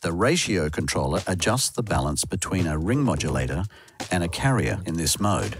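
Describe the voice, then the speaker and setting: A man reading a scripted software tutorial as narrator, recorded as a voice-over. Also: deep voice, very animated, moderate pace, over music. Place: close dry voice-over recording.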